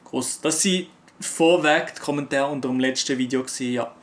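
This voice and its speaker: A man's voice: medium pitch, fairly quick. A young man from Switzerland with a Swiss accent, chatting casually with viewers and answering their comments in loose, slangy talk full of fillers. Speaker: YouTuber.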